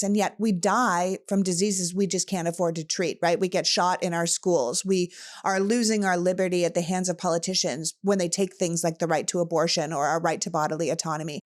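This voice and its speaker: Passionate Canadian woman podcast host. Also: on the low side. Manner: passionate, rapid, emphatic. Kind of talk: casual political talk.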